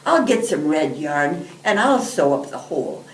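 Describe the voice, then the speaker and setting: A woman's voice: low-pitched, big pitch swings. An elderly woman voicing a kindly, reassuring old grandmother in a storybook. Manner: reassuring, unhurried. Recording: roomy, distant microphone, background hiss.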